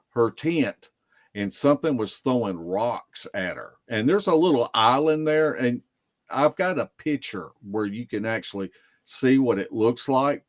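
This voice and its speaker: A man telling stories casually as a podcast guest, in a folksy, unhurried way that circles back on itself. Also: medium pitch. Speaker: podcast guest, field investigator. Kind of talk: casual storytelling.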